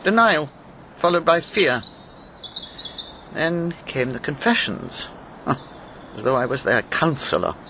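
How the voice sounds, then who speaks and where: A steely older woman, once a wartime agent and Nazi-hunter. She speaks with grave, measured control in naturalistic radio drama style. Birdsong and ambient noise sit clearly behind her. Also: low voice, sing-song, full character voice.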